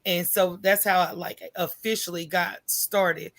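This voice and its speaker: Bright-voiced American woman telling stories. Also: fairly low pitch, fairly even intonation, a little slow. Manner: colloquial, candid, often ends phrases with "right?". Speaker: podcast guest, entrepreneur. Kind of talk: animated conversational storytelling.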